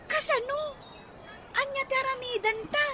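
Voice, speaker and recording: Naive little girl for radio drama: extremely high, lively, questioning, on a noisy track. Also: normal speed. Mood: puzzled. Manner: questioning, childlike.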